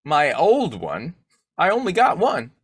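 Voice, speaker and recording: A man playing a blustery, boyish, quick-tempered bumbling husband in old-time radio comedy, with a folksy, slangy, argumentative way of talking. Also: fairly high pitch, wildly swooping pitch, breathy edge, no room sound, clean studio sound, exaggerated voice. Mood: joking.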